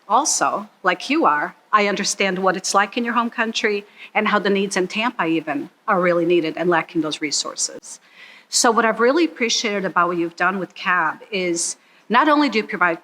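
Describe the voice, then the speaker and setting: A woman's voice: medium pitch, fairly bright tone, rough recording. A middle-aged woman from Croatia, speaking earnestly as a charity supporter in an interview. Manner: earnest and heartfelt. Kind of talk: interview testimonial.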